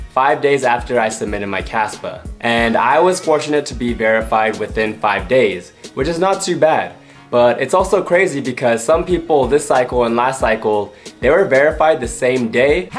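Slightly hoarse young man vlogging. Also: medium pitch. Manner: friendly, advice-giving, conversational. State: hoarse from losing his voice. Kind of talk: casual vlog talk to camera. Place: small room at home, indoors.

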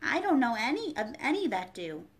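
Woman playing a young storybook girl, high-pitched, curious, polite, easily exasperated. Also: very animated, normal speed. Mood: timid, polite.

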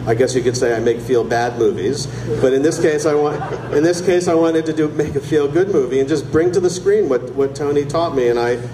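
A middle-aged man speaks into a handheld mic on stage, stopping and restarting often. The room rings with echo and a steady hum of crowd noise sits under him. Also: a little high. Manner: halting, many restarts and fillers. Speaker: documentary filmmaker. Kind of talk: onstage storytelling at a screening Q&A. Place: stage before a live audience. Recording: echoey venue with audience noise.

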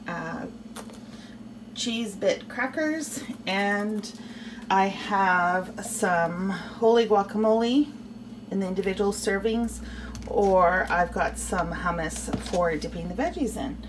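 A woman's voice: medium pitch, far from the mic, a little hiss or hum, poor audio. A woman chatting casually to camera in her home kitchen as she shows the food she prepared for the week. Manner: chatty, relaxed, with fillers and asides. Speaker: vlogger showing her meal prep.

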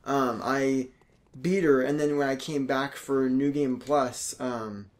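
A man's voice: medium pitch, steady tone, slow. A man giving casual, grumbling, sarcastic let's play commentary as he plays a video game. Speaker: gaming video host playing a boss fight. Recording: clear background game noise. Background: video game sound.

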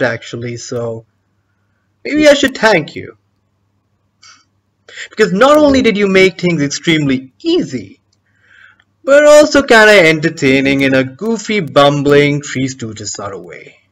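A man performing a theatrical monologue as a smug, menacing schemer, taunting his victim in a deliberate, sardonic way. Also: fairly high pitch, very animated, a bit bright, voice pushed up, exaggerated voice, poor audio. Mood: mocking.

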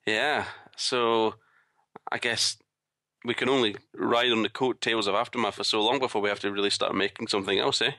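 A man chats as a podcast co-host with a wry, joking manner. His voice is low yet bright and crisp-edged, captured in a very dry recording. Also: steady tone, normal speed, no room sound. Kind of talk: casual podcast chat.

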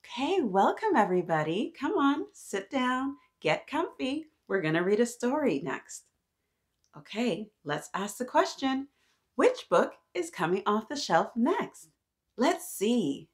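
A woman in her thirties reading aloud to young children, animated and encouraging, often addressing them directly with questions. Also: on the high side, a little slow. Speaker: children's story-time host. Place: small decorated indoor room.

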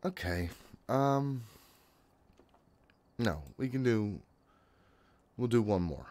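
A man's voice: on the low side, animated, takes it slowly, a little mellow, dry recording. A man in his thirties doing casual live commentary on a horror game, talking to himself in a jittery way and swearing often. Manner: jittery self-talk with frequent swearing. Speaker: gaming streamer. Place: small room at home, indoors.

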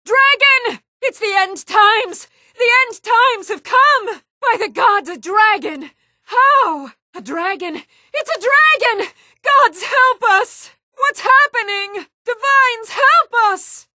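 A woman's voice: very high-pitched, animated, slow, sharp tone, no room sound, clean studio sound, exaggerated voice. An actress playing a sturdy, earnest townswoman and warrior in a fantasy video game, with formal, old-fashioned phrasing. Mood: panicked.

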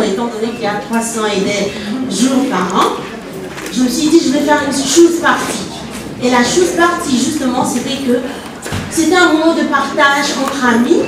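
A woman from Congo speaks in a high voice, earnest and halting, to a small audience in an ornate lounge. She holds a mic, but the camera sits far back in a very echoey room. Steady background noise fills the recording. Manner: earnest, halting, many restarts and fillers. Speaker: event organizer presenting her art business. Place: ornate lounge with red walls, indoors. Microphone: handheld mic, filmed from far back.

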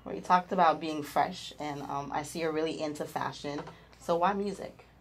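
A young woman interviewing a rapper with a handheld mic in a studio room, asking short measured questions. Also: sits low, fairly even intonation, slight background noise.

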